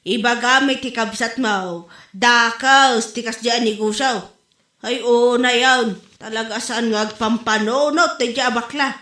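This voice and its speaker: A woman's voice: on the high side, steady tone, unhurried, pushed and bright. A woman with an Ilocano accent playing a brash, judgmental, exclamatory gossip in a comedy drama. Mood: scornful. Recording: roomy, echoing room sound.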